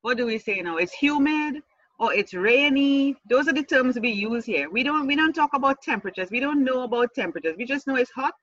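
A woman host from the Caribbean chats with a bright, forceful voice, laughing easily and asking curious questions. The sound is dry and a little dull, like a lo-fi mic. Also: sits high, animated, fairly quick, no echo. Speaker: podcast host. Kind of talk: casual interview conversation.

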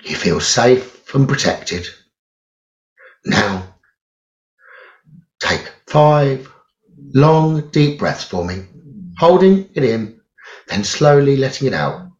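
A man leading a guided meditation in a soothing, measured way, leaving long pauses between phrases. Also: medium pitch, very animated. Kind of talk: slow guided meditation narration. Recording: roomy echo, dull lo-fi sound.

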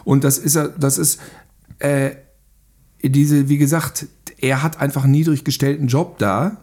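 A man chatting casually about film as a podcast guest, retelling things in an animated way. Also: fairly high pitch, measured pace, husky.

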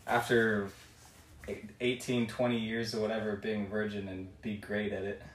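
A man's voice: lowish voice, completely flat delivery, normal speed, reverberant, far from the mic, low-quality recording. A man from Reno, a podcast guest, talking candidly and thoughtfully in a laid-back way. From Reno, Colombian heritage. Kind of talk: casual podcast chat.

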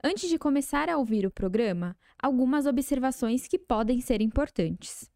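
A woman's voice: sits high, animated, normal speed, warm tone, dry recording. A Brazilian woman announcer reads a scripted podcast introduction. The recording is close and dry, with no room sound around her voice. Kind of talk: scripted narration.

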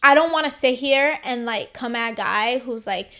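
A woman's voice: a little high, normal speed. A woman co-hosting a podcast, giving her opinions in casual chat and saying "like" a lot. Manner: chatty, lots of "like", animated opinions. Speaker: podcast co-host.

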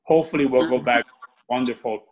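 A man's voice: medium pitch, quick pace, muffled recording. A middle-aged man from Cuba, an artist answering interview questions in a casual, rambling way with many restarts.

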